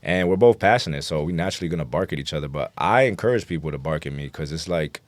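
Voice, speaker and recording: A man's voice: a bass voice, lively intonation, fast, dry recording. A man with a New York accent chatting casually as an interview guest, telling stories emphatically and with lots of slang. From New York City. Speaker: guest rapper.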